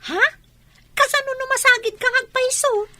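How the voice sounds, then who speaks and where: An actress playing a doubtful, exasperated young friend in a radio drama, questioning everything in an Ilocano Filipino accent. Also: female voice, very high-pitched, fairly even intonation, normal speed, sharp tone, heavily acted. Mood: incredulous. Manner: questioning, incredulous.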